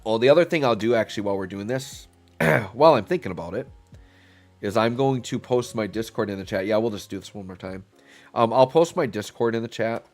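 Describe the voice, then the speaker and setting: A man's voice: fairly low pitch, fairly quick. A man with a stuffy, congested voice, chatting casually and rambling as he streams a game live. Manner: relaxed, rambling, thinks aloud with fillers. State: stuffy, getting over a cold. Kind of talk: casual live-stream commentary while playing. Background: faint background music.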